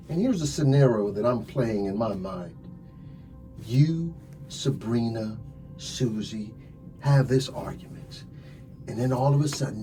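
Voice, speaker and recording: A man playing a smug police detective in an ASMR crime roleplay, telling things dramatically with drawn-out, hushed phrases. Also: medium pitch, low-quality recording. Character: smug theatrical male police detective interrogating a suspect.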